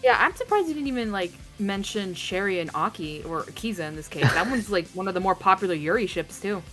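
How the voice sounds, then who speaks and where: A woman's voice: mid-range voice, lively intonation, quick pace, a bit bright, faint music behind, low-quality recording. A woman co-hosting a casual chat, eager and full of filler words. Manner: eager, fan-talk, lots of "like". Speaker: co-host.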